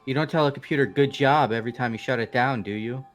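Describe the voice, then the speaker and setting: A man's voice: medium pitch, fast, faint music behind, close to an everyday voice. A man voicing a brash, casual, skeptical teenage boy with an offhand, argumentative manner, in amateur game voice acting.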